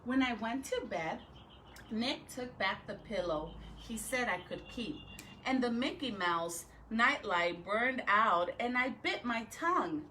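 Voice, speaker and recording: A woman teacher reading a picture book aloud to children in an expressive, deliberate way, with chatty asides to her listeners. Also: a little high, a little hiss or hum, low-quality recording. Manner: animated, deliberate, dramatic phrasing with drawn-out words.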